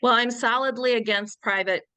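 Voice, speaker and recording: A middle-aged woman with a cold, answering questions at a candidate forum in a firm, earnest, measured way. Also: a little high, steady tone, sharp tone, clean tone, no echo. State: has a cold, sniffly. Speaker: candidate for state senate.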